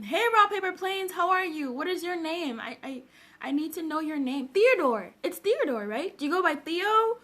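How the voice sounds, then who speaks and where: A woman's voice: extremely high pitch, fairly bright tone. A woman from Zambia chatting casually on a livestream, greeting viewers by name and laughing often. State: just woke up. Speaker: livestream host. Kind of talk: casual live-stream chat with viewers.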